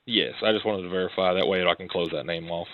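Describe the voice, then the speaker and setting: A man's voice: low voice, fast talker, dry recording. An American man speaking as a police detective questioning someone by phone, hesitant and apologetic as he explains himself. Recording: dull lo-fi recorded phone call.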